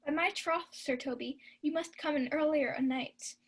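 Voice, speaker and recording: A sharp-witted maid in a radio Shakespeare play, played by a woman. Her voice is high, and she scolds in period English with a no-nonsense manner. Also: moderate pace. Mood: scolding. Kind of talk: student Shakespeare radio acting.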